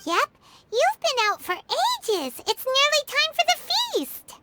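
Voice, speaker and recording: A woman voicing a tiny, perky, excitable fairy companion in a video game, with a squeaky childlike voice. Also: takes it slowly, heavy background noise, full character voice. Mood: cheerful. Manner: refers to self in third person, exclamatory. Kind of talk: video game character acting.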